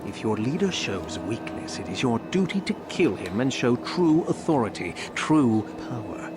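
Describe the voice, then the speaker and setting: A man's voice: mid-range voice, big pitch swings, normal speed, background noise, lightly acted. A man playing a sadistic, haughty Sith instructor in a video game, mocking and theatrical. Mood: scornful, lecturing. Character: sneering, sadistic Sith instructor, contemptuous bully. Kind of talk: video game villain acting.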